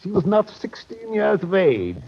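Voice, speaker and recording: An actor playing a genteel, roguish British captain, pompous and long-winded, in an old radio detective drama. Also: male voice, high voice, huge pitch swings, unhurried, creaky, exaggerated voice. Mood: pompous storytelling. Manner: pompous, blustering, flowery phrasing. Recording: muffled old radio recording, faint noise.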